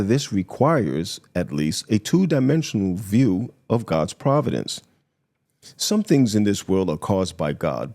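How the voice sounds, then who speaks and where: Male pastor, low register, soft dark tone, delivering a prepared daily devotional reading.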